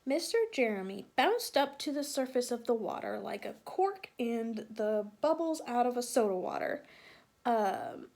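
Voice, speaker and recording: Higher-voiced woman reading a storybook aloud. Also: creaky. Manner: expressive, with sound-effect emphasis and questions to listeners. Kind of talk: storybook read-aloud with asides to young listeners.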